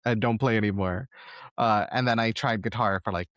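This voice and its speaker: Low-pitched man hosting a casual podcast. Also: steady tone, normal speed, fairly bright tone, dry recording, clean studio sound. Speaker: podcast host. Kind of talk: casual conversational interview.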